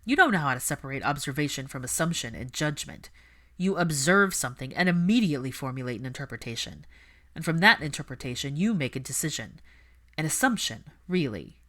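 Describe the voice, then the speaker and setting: A guarded, scornful upper-class man played by a woman narrator speaks in a low register. His audiobook dialogue is clipped and formal, full of cool disdain. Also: big pitch swings, fairly quick, sharp tone, exaggerated voice. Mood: scornful, challenging. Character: cold, guarded, contemptuous aristocratic young father.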